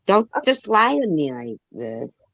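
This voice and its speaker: A man's voice: a little high, sing-song, slow, creaky, fairly bright tone, no echo, muffled recording. A man in casual chat who argues each point and spells out letters to prove it.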